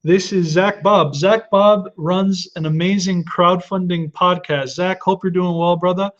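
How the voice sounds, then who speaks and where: A man's voice: high-pitched, steady tone, measured pace, lo-fi sound. A man in his thirties, hosting a live webinar and answering viewer questions with earnest advice, often restarting his sentences. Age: 30s. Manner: earnest advice-giving with frequent restarts and fillers.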